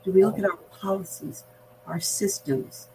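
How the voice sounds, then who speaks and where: An older woman with an American accent speaks in a measured, articulate, explanatory way, reflecting in conversation as an experienced interview guest. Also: mid-range voice, mellow, slight background noise, rough recording. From Barbados. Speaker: veteran diversity practitioner, podcast guest.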